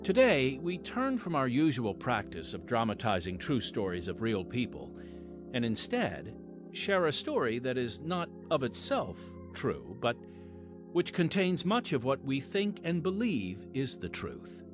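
A male host delivers a scripted podcast introduction. He speaks straight to his listeners with a very animated, mid-pitched voice. Music plays clearly behind him. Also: unhurried. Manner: addresses listeners directly as friends.

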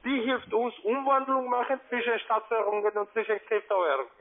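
High-pitched foreign man on a tinny phone line. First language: not German. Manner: halting, formal, broken grammar. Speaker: cold-calling account manager.

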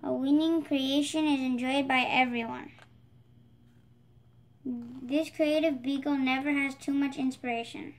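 A female voice with a high pitch reads a children's picture book aloud. She presents it as the host of a read-aloud channel. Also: steady tone, unhurried, rough recording. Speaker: storybook reader, channel host.

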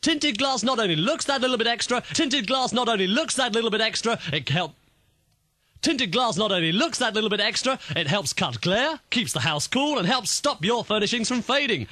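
A man voicing radio commercials as an announcer, reading the copy briskly in a studio. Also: high voice, expressive, bright, forceful tone, no echo. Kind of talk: brisk advert read with out-takes. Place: radio station production studio.

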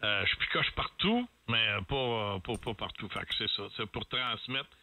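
Bright-voiced Quebec French man on air. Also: mid-range voice, expressive, normal speed. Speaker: radio operator on the air. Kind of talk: casual on-air radio chat.

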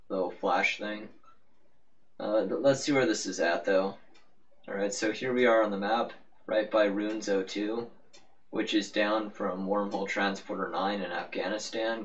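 A man giving a casual, rambling, offhand game walkthrough as the host of a gaming video. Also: fairly low pitch. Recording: muffled, noisy, distant-sounding microphone.

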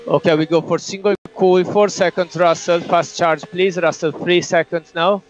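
A male race engineer speaks over a dull team radio link with faint noise. His voice is fairly high, and he gives short, clipped instructions and countdowns to his driver. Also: steady tone, moderate pace.

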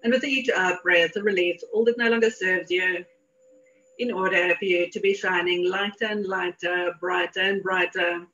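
A woman's voice: on the low side, fairly even intonation, pushed and bright. Woman guiding meditation, dull lo-fi recording. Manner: soothing, deliberate, repetitive phrasing. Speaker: meditation guide. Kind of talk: guided meditation. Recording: dull lo-fi audio.

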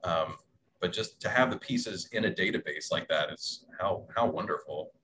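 A man in his thirties chatting casually on a video call, halting and repeating himself. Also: deep voice. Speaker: panelist, audio drama writer. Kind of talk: casual chat. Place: small room, indoors, on a video call. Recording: noisy video call with faint room noise.

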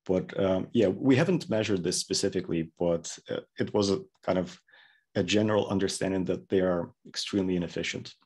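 Male, thirties, very low husky voice, hesitant conversational explainer. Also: fairly quick, a little mellow. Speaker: product engineer, interview guest. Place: indoor room, plain backdrop, video call.

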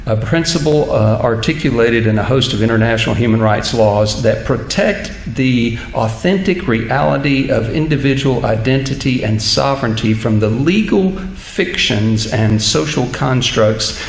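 A middle-aged man, a minister, giving an earnest, halting public talk at a church lectern into a stand microphone. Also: medium pitch. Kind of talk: public lecture in church, reading from notes. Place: church stage at a lectern, indoors. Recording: roomy hall echo, clear background noise.

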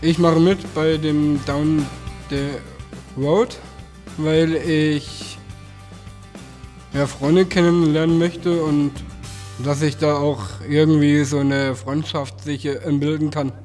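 A man in his twenties introducing himself haltingly in an interview. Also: fairly high pitch, flat delivery, darkish tone. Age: 20s. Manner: hesitant, halting. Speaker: participant. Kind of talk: interview testimony. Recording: music bed under the voice.

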